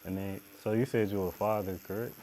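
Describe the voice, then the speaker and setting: Deep mellow young man hosting. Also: fairly even intonation, a little fast, background noise. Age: 20s. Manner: laid-back, short replies. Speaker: host and tattoo artist. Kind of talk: casual conversation. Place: tattoo studio.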